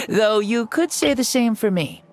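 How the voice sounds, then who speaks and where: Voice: medium pitch, animated, takes it slowly. An actor voicing an ancient male fire god who now speaks through a boy's body, playful and full of jokes in a video game cutscene. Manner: playful, joking, self-assured. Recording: game audio with faint music.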